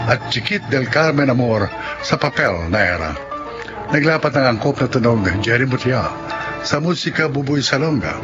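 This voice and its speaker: A male announcer who reads the credits and introductions in a formal, measured way. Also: medium pitch, lo-fi sound. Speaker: program announcer. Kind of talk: scripted radio announcing.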